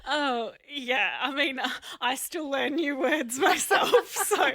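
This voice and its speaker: A woman's voice: high-pitched, slow, fairly bright tone, no echo, studio quality. A woman hosting a podcast interview, asking questions and laughing along encouragingly. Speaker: podcast host. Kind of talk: interview host.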